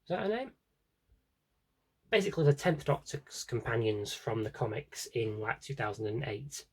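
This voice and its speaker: A man with a husky, slightly rough voice at a middling pitch talks like a casual vlogger. His delivery is unscripted and rambling, dotted with tongue-in-cheek asides. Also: very animated, normal speed. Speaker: vlogger showing an audio drama CD collection. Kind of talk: casual unscripted chat to camera.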